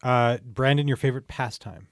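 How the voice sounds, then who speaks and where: A man's voice: medium pitch, moderate pace, dry recording. Playful man hosting a podcast quiz, dry sound. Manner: playful, jokey. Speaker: podcast host running a quiz. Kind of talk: casual comedic banter with guests.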